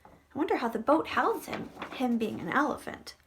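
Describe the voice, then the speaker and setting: A woman, a first grade teacher, reading a storybook expressively to young children, with dramatic delivery and hushed asides. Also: high voice, moderate pace, a little hiss or hum. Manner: animated, dramatic, drops into hushed whispered asides.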